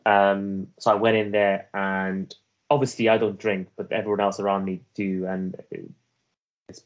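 Man, Welsh accent, very low pitch; earnest interview guest, halting delivery, muffled lo-fi audio. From Afghanistan. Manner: earnest, halting, with many restarts and fillers. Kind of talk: reflective personal storytelling.

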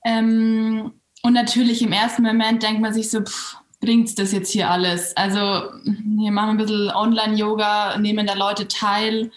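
A woman's voice: mid-range voice, little pitch movement, moderate pace. A woman yoga teacher talking in a casual interview. She hesitates, often restarts her sentences and uses fillers. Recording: roomy echo.

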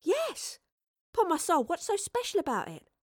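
A woman's voice: very high-pitched, wildly swooping pitch, normal speed, dry recording, studio quality, voice pushed up, exaggerated voice. A woman playing a cheeky, feisty young Tudor tavern girl in an audio drama, brash and colloquial. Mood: agitated, puzzled.

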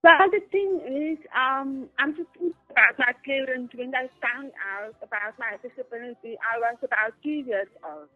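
A young South African woman whose first language is Afrikaans, a radio guest on a phone line, upbeat and quick to laugh. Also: sits high. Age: young adult. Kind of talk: phone interview.

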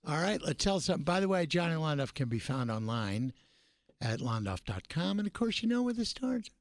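A man's voice: on the high side, a little fast, no echo, studio quality. A man hosting a radio talk show, chatting casually and telling jokey stories. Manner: lively storyteller, jokey. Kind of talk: casual chat.

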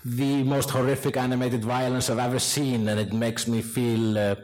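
A man's voice: mid-range voice, little pitch movement, moderate pace, darkish tone, low-quality recording. Rambling joking podcast host, man. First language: Icelandic. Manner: rambling, joking, laughing storyteller. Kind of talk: live podcast chat with audience questions. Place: live event stage.

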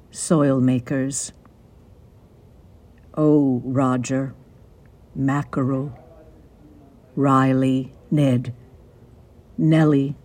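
A man reciting a poem with measured, deliberate phrasing. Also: medium pitch, a little mellow. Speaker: poetry reader. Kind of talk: poetry recitation.

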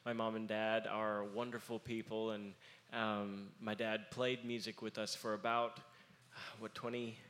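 A young man with a somewhat low voice talks earnestly on a panel. He pauses often and fills gaps with ums. His talk is casual but heartfelt. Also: little pitch movement, fairly bright tone. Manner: earnest, halting with many fillers. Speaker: musician in family band.

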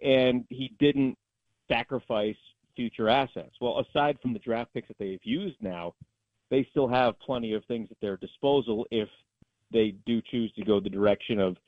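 A man's voice: fairly low pitch, dry recording. A man, a sports announcer appearing as a guest, giving emphatic, opinionated analysis in a conversational way over a dull, narrow-band line.

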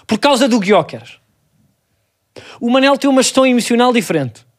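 A man's voice: very high-pitched, sing-song, fairly quick. A Portuguese man co-hosting a comic football chat, speaking in a mock-formal, teasing way and pushing in to be heard. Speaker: podcast co-host.

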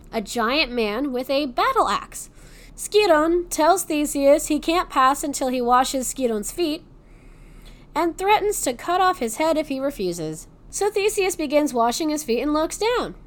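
American woman, young, high voice, sarcastic, swears, casual storytelling. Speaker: podcast storyteller. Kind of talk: casual mythology retelling.

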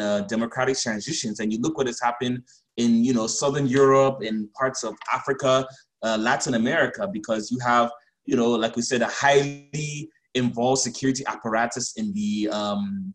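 Man from Cameroon, measured panel talk. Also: medium pitch, rough recording. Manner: measured, many "you know" fillers. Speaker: researcher on democratic transitions, panelist. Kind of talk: panel discussion, unscripted.

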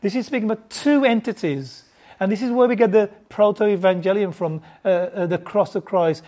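A woman teaches a Bible passage in a loose, chatty way, stumbling over restarts and tossing questions to her listeners. Her voice sits at an ordinary middle pitch. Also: moderate pace, warm tone. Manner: informal, stumbling restarts, asks the audience questions. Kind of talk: conversational Bible teaching to a group.

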